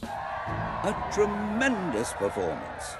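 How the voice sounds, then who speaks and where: Swooping theatrical drama lover. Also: male voice, sits high, wildly swooping pitch, drawn-out words, exaggerated voice. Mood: delighted. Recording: loud music behind the voice.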